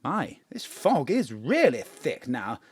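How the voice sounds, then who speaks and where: A man's voice: medium pitch, wildly swooping pitch, takes it slowly, slightly rough, dry recording, clean studio sound, heavily acted. An actor playing a polite, wry male assistant in an audio drama. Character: polite, wry, queasy assistant called Peter.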